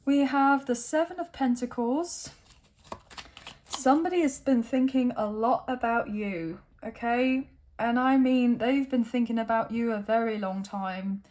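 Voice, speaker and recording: Croaky-voiced woman giving a chatty reading. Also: fairly high pitch, unhurried, darkish tone. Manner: chatty, often adds tag questions like okay and right. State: croaky, tired voice. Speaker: tarot reader. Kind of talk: casual tarot reading to camera. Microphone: phone.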